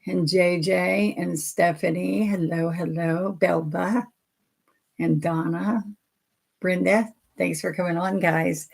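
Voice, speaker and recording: Raspy older woman teaching painting. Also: on the low side. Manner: chatty, greets viewers by name, folksy. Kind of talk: casual livestream painting lesson.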